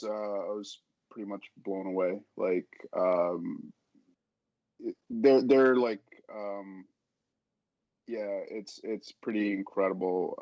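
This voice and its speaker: A man chatting casually as an interview guest, halting as he repeats words and backtracks through his thoughts. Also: lowish voice, a little mellow, no room sound, muffled recording. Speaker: podcast guest, author.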